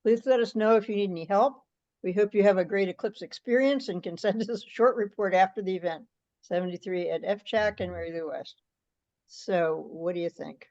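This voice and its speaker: A woman's voice: mid-range voice, a little fast, darkish tone. A woman reading a draft aloud and talking it over in an online meeting, on conference-call audio. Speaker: meeting participant presenting a draft letter. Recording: slightly dull video call audio.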